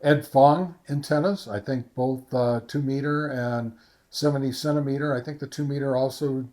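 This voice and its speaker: Casual man reviewing gear, halting delivery. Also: medium pitch, fairly even intonation, dark tone. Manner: halting, informal, conversational. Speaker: ham radio reviewer and vlog host. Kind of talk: casual product review and field test.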